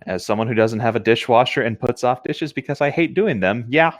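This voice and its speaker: A man's voice: medium pitch, fairly quick, no room sound, studio quality. A young man chatting casually as a co-host, agreeable and easygoing. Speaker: podcast co-host. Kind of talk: casual chat.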